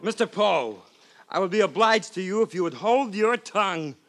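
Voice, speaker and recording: An actor playing a cocky, scornful gambling rogue in a 1950s radio drama, bombastic and mocking in manner. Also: male voice, high-pitched, animated, moderate pace, fairly bright tone, faint music behind. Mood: haughty mockery.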